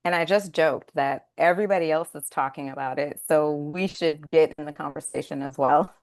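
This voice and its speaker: A woman's voice: low-pitched, moderate pace, darkish tone, no room sound. A woman hosting a webinar interview, enthusiastic and upbeat. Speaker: host.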